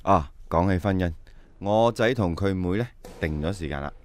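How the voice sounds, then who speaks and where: An actor playing a calm, resolute man near forty in a radio drama. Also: low voice, moderate pace, lightly acted. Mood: matter-of-fact.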